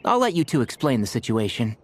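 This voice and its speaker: An actor playing a polite, composed young male forest ranger who speaks calmly and courteously. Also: medium pitch, brisk, lightly acted. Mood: reassuring. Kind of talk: game cutscene voice acting. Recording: studio voice with faint music.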